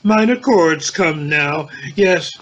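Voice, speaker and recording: A man reading the part of a brooding, tipsy young intellectual who muses to himself in a literary drama, with a husky voice. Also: fairly high pitch, animated, slow pace, slight background noise. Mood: wry musing. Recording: dull lo-fi home recording.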